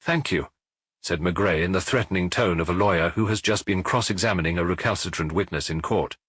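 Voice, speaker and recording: A man's voice: low-pitched, quick pace, fairly bright tone, breathy edge, dry recording, clean studio sound. A man reading a detective story as an audiobook narrator, in a scripted, dramatic storytelling style.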